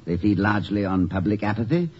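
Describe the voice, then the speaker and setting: An actor playing a witty, middle-aged college president in a 1950s radio comedy, articulate and wry, given to hemming and bluster. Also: male voice, lowish voice, lively intonation, fast, breathy edge. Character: witty, indignant, erudite male college president. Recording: muffled, faintly noisy 1950s radio recording.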